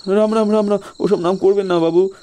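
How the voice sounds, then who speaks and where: A man's voice: high voice, steady tone, a little fast, warm tone, a little hiss or hum, voice pushed up, close to an everyday voice. A man voicing a frightened, gullible village carrier in an audio story, stammering and pleading in a tearful way.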